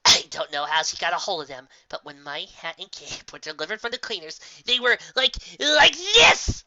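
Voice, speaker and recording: Melodramatic female unicorn, male reader, grand and aggrieved, high forceful voice, dull storybook recording. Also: animated, normal speed, full character voice. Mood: distraught. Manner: pompous, theatrical. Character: melodramatic, pompous, indignant female unicorn magician. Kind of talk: fan fiction storybook reading.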